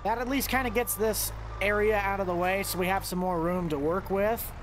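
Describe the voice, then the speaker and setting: A man giving casual, chatty commentary over his gameplay, talking straight to his viewers with excitable outbursts. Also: high voice, normal speed, a little hiss or hum. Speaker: gameplay video host.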